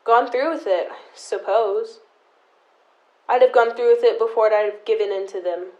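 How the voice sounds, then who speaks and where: A young woman acts as a stubborn, protective sister in a radio play. Her fairly high voice is deliberate and dramatic, carrying long-held resentment. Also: a little mellow, highs cut off, close to an everyday voice. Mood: wry. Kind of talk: high school audio drama acting.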